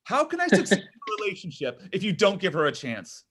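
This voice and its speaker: A man reads an anxious young boyfriend who fumbles and laughs nervously. His voice is bright and lifts a little high, swinging widely in pitch. He talks in a fidgety, needy way. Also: wildly swooping pitch, brisk, a little hiss or hum, full character voice. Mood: defensive. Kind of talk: table read of a comedy script.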